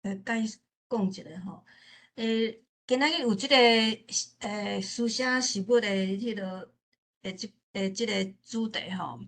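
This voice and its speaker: 臺灣腔查某人，講話頓頓、重複. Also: female voice, medium pitch, a bit bright. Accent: Taiwanese. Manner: halting, repeats phrases. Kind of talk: informal spoken remarks at a literature forum.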